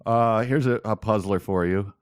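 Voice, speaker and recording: A man's voice: lowish voice, moderate pace, smooth, no room sound, studio quality. A man co-hosting a podcast in casual chat about a book, with an amused, mock-puzzled and emphatic manner. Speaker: podcast co-host.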